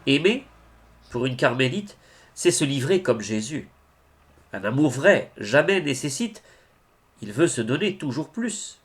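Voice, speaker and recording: A man with a mid-pitched voice recites devotional verse very slowly. His delivery is solemn and declamatory, with wide rises and falls in pitch and deliberate pauses between phrases. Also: sing-song. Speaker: reader of devotional poetry.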